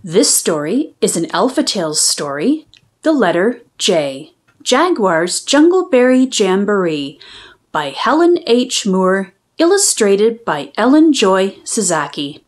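A woman storyteller reading a picture book aloud to young children in an expressive, deliberate, sing-song way. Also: mid-range voice.

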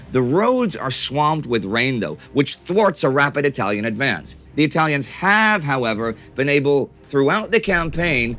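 A man's voice: mid-range voice, bright, forceful tone. A middle-aged man hosting a history show, reading scripted narration with emphatic, dramatic storytelling delivery. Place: small furnished study set, indoors.

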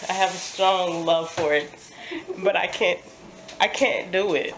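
A woman's voice: lowish voice, a little slow, background noise. A young woman vlogging with a friend in a small home kitchen on a phone held at arm's length, teasing and laughing often. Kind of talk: casual chat.

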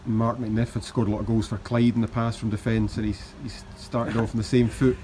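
A man's voice: on the low side, little pitch movement, fairly quick, mellow, a little hiss or hum. A man interviewing off camera for a club's TV channel, asking short leading questions. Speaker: club TV interviewer. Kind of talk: post-match interview questions.

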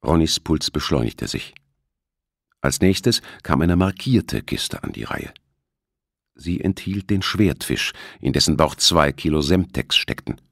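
A man's voice: extremely low pitch, expressive, a little slow, gravelly, fairly bright tone, dry recording, clean studio sound. A man narrating a suspense novel as an audiobook, reading from a script. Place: close, dry studio recording.

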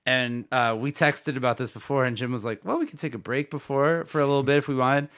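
A man in his thirties with a bright, forward voice chats on a podcast in an upbeat, jokey way. His pitch sits in a middle range. He speaks into a boom-mounted studio microphone in a home studio. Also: fast talker. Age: 30s. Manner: upbeat, jokey, laughs easily. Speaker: podcast co-host. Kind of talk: casual podcast chat. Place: home studio with record shelves. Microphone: studio mic on a boom arm.

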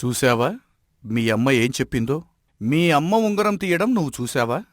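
A man voicing a respected village president who settles disputes in an animated moral story, talking in an avuncular way. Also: mid-range voice, normal speed, no room sound, studio quality, close to an everyday voice. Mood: questioning.

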